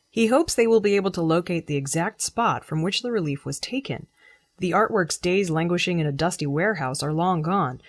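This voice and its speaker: A woman's voice: on the low side, slightly rough. A woman reading scripted news stories as a narrator. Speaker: news reader.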